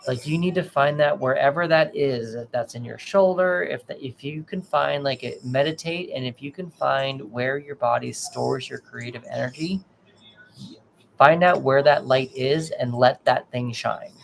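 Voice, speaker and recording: Tarot reader, male, gravelly, mid pitch, reassuring and casual, faint noise behind. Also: moderate pace, poor audio. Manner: gentle, reassuring, lots of restarts. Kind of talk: live tarot reading, casual advice.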